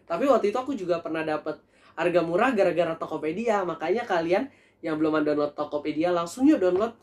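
A young man in a casual food review vlog, talking in a chatty, animated way to his viewers while seated at a table in a small room. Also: sits high, quick pace. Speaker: food vlogger.

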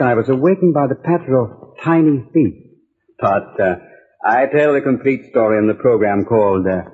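A man's voice: mid-range voice, slightly rough, close to an everyday voice. An actor playing an easygoing, wry newspaperman, telling his story conversationally in old-time radio style. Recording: narrow-band vintage radio recording.

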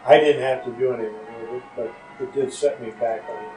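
An older man answers casual questions as a podcast guest. His phrases are halting and unhurried. The sound is echoey, with clear music playing behind him. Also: mid-range voice, steady tone, darkish tone. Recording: roomy echo, music behind.

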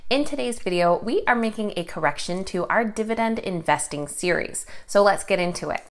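A woman's voice: medium pitch, expressive, fairly quick. A young woman hosting a finance channel, talking casually to camera from a home office, a little nasal and congested from a cold. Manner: upbeat, conversational, explains step by step.

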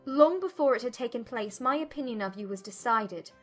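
A woman acts a spirited young gentlewoman from a period romance audiobook. Her high voice delivers formal, accusing lines with firm emphasis and indignation. Music plays softly behind her. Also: lively intonation, a little fast. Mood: cold accusation. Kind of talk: dramatised audiobook acting.

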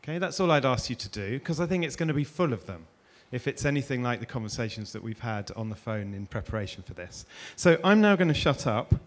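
A man's voice: mid-range voice, expressive, fast, hardly any pauses. A middle-aged man from England, chatty and self-deprecating, introducing and interviewing a guest on a conference stage with a handheld mic. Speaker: interviewer and host. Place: conference stage before an audience.

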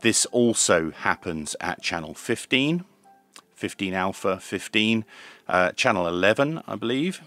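Low-voiced man, measured reviewer. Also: expressive, a bit bright, dry recording, faint music behind. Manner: measured, methodical step-by-step explaining. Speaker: presenter of radio equipment reviews. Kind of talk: product review and demonstration. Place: small indoor home radio workshop.

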